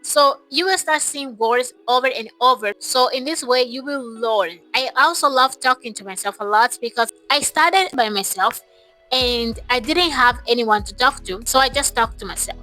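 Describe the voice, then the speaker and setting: A woman speaks in a high, very bright voice. She talks casually to her viewers in a motivational, emphatic way, often repeating phrases to stress them. Music plays softly behind her. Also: moderate pace, poor audio. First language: Portuguese. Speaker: English-learning YouTuber. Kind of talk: casual motivational talk to camera.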